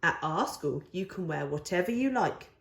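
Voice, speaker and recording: A woman's voice: lowish voice, lively intonation, moderate pace, a bit bright, echoey room. A woman voicing a patient, persuasive young boy, the older brother in a storybook, coaxing and reasoning. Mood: patient, reassuring.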